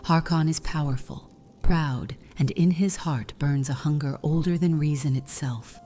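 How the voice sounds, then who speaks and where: A woman playing a solemn vampire mother in a fantasy game roleplay, speaking in a grave, measured, breathy voice that often nears a whisper. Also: sits low, mellow, faint music behind. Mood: grave confiding. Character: solemn vampire mother confessing her past to her daughter. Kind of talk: scripted fantasy roleplay dialogue.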